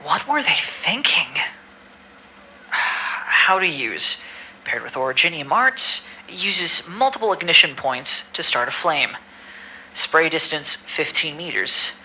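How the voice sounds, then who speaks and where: A man's voice: on the high side, sing-song, slow, sharp tone, slight background noise, heavily acted, low-quality recording. A man playing an exasperated medical staffer in an audio drama, reading patient files formally with weary, grumbling asides. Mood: formal, incredulous. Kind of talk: amateur audio drama acting.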